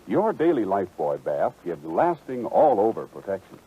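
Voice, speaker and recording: Deep, husky, dramatic male radio announcer. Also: very animated, moderate pace. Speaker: commercial announcer. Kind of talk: 1940s radio ad read. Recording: muffled 1940s radio recording.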